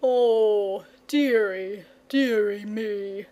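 Storybook dragon, lazy and timid, slow drawl, lower and hazier than the narrator, as a woman puts him on. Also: darkish tone, muffled recording. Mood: plaintive. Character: lazy, languid old male dragon, gentle and timid.

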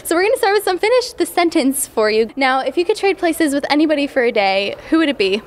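A young woman interviewing a guest for a magazine, bubbly and quick to laugh. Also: sits high, big pitch swings. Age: young adult. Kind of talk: upbeat interview host. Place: indoor convention hallway. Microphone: handheld mic.

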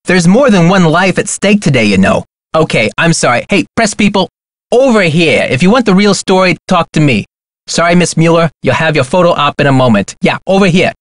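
An actor playing a brash young activist in a video game, sarcastic, slangy and preachy. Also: male voice, on the high side, expressive, brisk, dry recording, studio quality, close to an everyday voice. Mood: heckling. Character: brash young male activist, sarcastic crusader against corporations.

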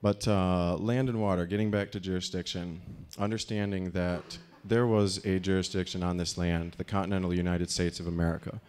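A man in his thirties with a deep voice, giving a rambling live talk on a dark stage into a handheld microphone, full of fillers. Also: steady tone, a little fast, hardly any pauses, clear, resonant tone. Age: 30s. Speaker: speaker.

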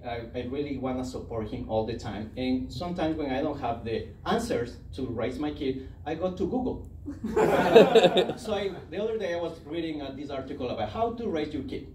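A man in his thirties with a doing a stand-up set on a club stage with a handheld mic, telling a story and acting out quick quoted lines. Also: fairly high pitch, lively intonation, darkish tone, a little hiss or hum. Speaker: stand-up comedian. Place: comedy club stage, indoors. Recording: roomy club sound from a far camera. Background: audience laughing.

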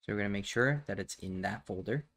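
A man with a fairly low voice narrates a software tutorial step by step. He explains each action casually and plainly, as someone talking while working at a computer. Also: fast, gravelly. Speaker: tutorial host. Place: indoors at a computer, voice over screen capture.

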